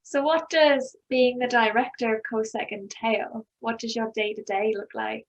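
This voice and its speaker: A woman's voice: a little high, fairly even intonation, normal speed. Young woman host on a muffled call. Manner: friendly, curious questions. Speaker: podcast host. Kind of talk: interview over video call. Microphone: video call. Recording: dull lo-fi video call audio.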